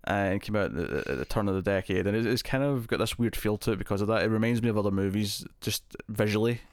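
A man's voice: lowish voice, fast, dry recording, studio quality. A man hosting a casual film podcast, talking in a chatty way, laughing often and restarting words. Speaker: podcast host. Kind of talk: casual chat.

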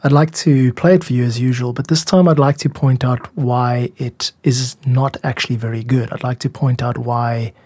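Husky man explaining music production, dry sound. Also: mid-range voice, fairly even intonation, normal speed, few pauses, a little mellow, no room sound. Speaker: music podcast host and composer.

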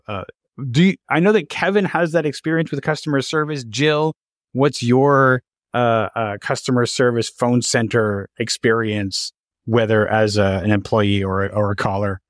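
A man speaking as a podcast host in a casual interview, with halting, searching phrasing. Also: mid-range voice, no room sound, clean studio sound.

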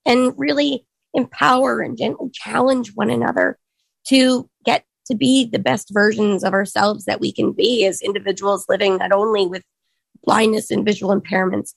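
A hoarse woman in her thirties sharing her personal story in an earnest, reflective way, pausing often with ums. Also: medium pitch, steady tone, no room sound, low-quality recording. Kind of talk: personal testimony talk.

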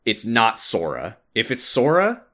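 A man's voice: medium pitch, wildly swooping pitch, measured pace, bright, forceful tone. A man hosting a podcast, chatting casually and emphatically about video game lore like a devoted fan. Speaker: podcast host.

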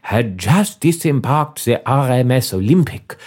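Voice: medium pitch, expressive, a little slow, darkish tone, breathy edge. A performer voicing a dramatic secret princess with a mixed Italian-American accent, confessing theatrically. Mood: earnest confessing. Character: secret Russian princess, dramatic woman with muddled foreign accent.

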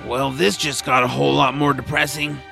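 A man playing a grumpy, nap-loving guide in an audio drama, gravelly, wry and folksy as he grumbles along. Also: mid-range voice, little pitch movement, fairly quick, pushed and bright, faint music behind. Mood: grumbling, explaining.

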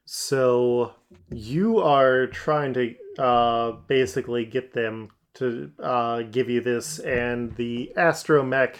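Halting, relaxed game master. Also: mid-range voice, smooth. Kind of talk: running a tabletop game.

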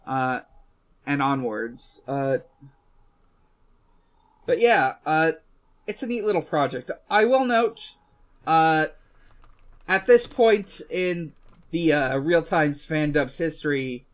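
A man co-hosting a casual recap discussion, speaking in a halting, hesitant way. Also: a little high, animated. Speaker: podcast co-host.

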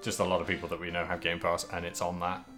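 Low-voiced man co-hosting a podcast. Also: completely flat delivery, fast talker, over music. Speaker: podcast co-host. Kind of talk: casual video game podcast chat.

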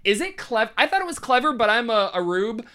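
A woman's voice: fairly high pitch, big pitch swings, brisk, pushed and bright. A young adult woman hosting a casual music podcast, chatty and joking, laughing often. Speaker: podcast host. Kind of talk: casual chat about music.

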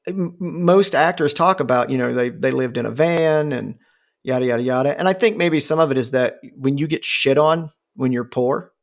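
A man's voice: mid-range voice, normal speed. A man in his forties talking casually, rambling with lots of fillers and restarts and swearing freely. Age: 40s. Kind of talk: casual conversation.

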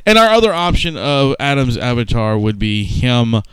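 A man's voice: medium pitch, big pitch swings, normal speed, rough recording. A man co-hosting a casual comedy podcast, bantering, swearing and eagerly agreeing with his friends. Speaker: podcast co-host.

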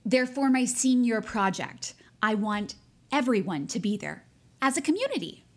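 High, animated, pious teenage girl. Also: normal speed. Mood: eager, insistent. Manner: earnest, insistent, self-righteous. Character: pious, bossy, relentlessly earnest teenage schoolgirl. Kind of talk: naturalistic audio drama acting.

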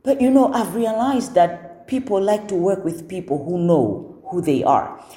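A woman with a deep voice, talking assertively in a casual podcast conversation, repeating words for emphasis. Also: expressive, measured pace, warm tone, roomy sound. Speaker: guest.

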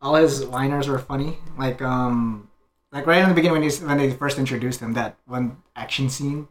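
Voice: medium pitch, moderate pace. A podcast co-host chatting casually about a film, retelling moments loosely and laughing easily. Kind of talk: casual movie discussion.

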